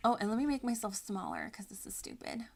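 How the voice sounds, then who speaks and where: Woman streamer with a creaky, fry-laced voice. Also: medium pitch, wildly swooping pitch, quick pace, a little mellow. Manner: giggly, self-deprecating, rambling. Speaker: video game streamer. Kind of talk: casual livestream chat over gameplay.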